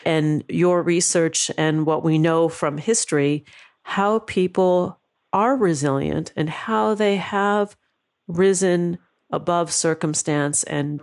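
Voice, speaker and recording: Woman, host, low voice, earnest, reflective, affirming. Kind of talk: interview talk.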